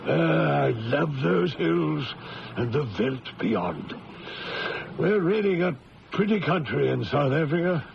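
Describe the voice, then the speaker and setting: An actor playing a genial, inquisitive company boss in an old-time radio drama, chatty and genteel. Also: male voice, fairly high pitch, animated, slow, vocal fry, exaggerated voice. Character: genial, inquisitive gentleman diamond-firm boss in South Africa. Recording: dull lo-fi radio recording, faint hiss.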